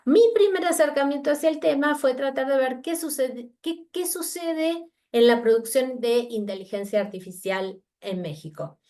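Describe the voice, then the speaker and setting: A woman's voice: high-pitched, darkish tone. A woman from Argentina with an Argentine Spanish accent, giving an academic lecture by video conference and talking thoughtfully with fillers and restarts. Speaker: university researcher giving a talk.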